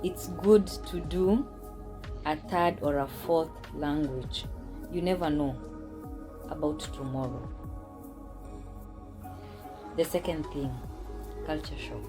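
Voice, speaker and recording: A Kenyan-accented woman telling a personal story as a vlogger, speaking deliberately with pauses and emphatic repetition. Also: low voice, over music. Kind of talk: personal vlog storytelling to camera.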